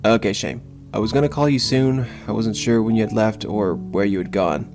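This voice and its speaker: A man's voice: on the low side, normal speed, over music, natural voice. A man playing a caring older brother who is a police officer, in an audio drama. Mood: calm, caring.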